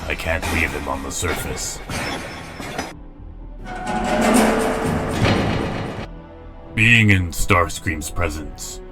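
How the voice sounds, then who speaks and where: Grim, commanding robot warlord, extremely low voice, deliberate and proud, music underneath. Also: male voice, bright, forceful tone, heavily acted. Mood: stern, weary. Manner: formal, stern, deliberate phrasing. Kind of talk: dramatic comic dub acting. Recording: music behind the voice.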